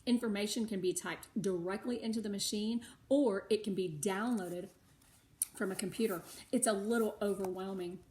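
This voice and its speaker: Expressive older woman reading aloud. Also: medium pitch, normal speed. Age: middle-aged or older. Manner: animated, reacts aloud to the story. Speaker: read-aloud host for schoolchildren. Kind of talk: expressive storybook read-aloud with chatty asides.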